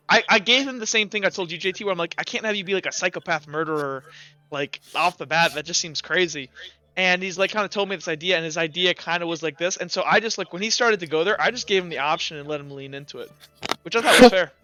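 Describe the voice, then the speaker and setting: A man runs a tabletop game in casual, conversational narration. His voice is high and bright, recorded very dry, with faint music underneath. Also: fast talker. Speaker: game master.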